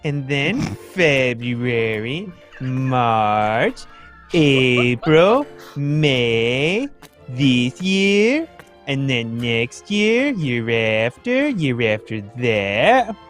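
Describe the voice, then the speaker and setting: Radio play role: giddy book hoarder, played by a woman, animated pitch, very drawn-out, gleeful delivery. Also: soft background music, exaggerated voice. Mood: gleeful planning. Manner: exclamatory, gleeful, drawn-out listing. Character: giddy, book-obsessed survivor gloating over a hoard. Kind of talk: radio play table read.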